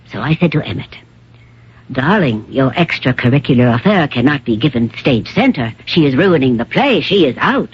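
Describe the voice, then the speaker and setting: An actress playing an elderly, poised and theatrical stage star with a condescending manner in a radio drama. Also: female voice, a bass voice, husky, full character voice. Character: elderly stage actress, poised, grandly self-possessed wife. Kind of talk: 1970s-80s radio drama acting. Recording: dull lo-fi old radio recording.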